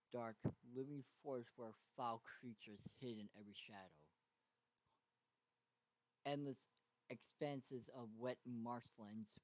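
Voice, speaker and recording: A man narrating a story dramatically, with a halting delivery that stumbles and repeats. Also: mid-range voice, no echo, poor audio. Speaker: fan fiction reader.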